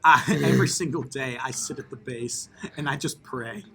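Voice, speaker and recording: A man's voice: mid-range voice, fairly even intonation, moderate pace, gravelly, a bit bright, slight background noise. A man co-hosting a podcast in casual comedic chat, joking and boastful.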